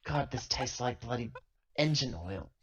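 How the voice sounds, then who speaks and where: A woman's voice: very deep voice, animated, a little slow, muffled recording, a little hiss or hum. A woman voicing a brusque, disgusted female drinker in a sci-fi tabletop game. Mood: disgusted, curt. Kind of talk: tabletop roleplay.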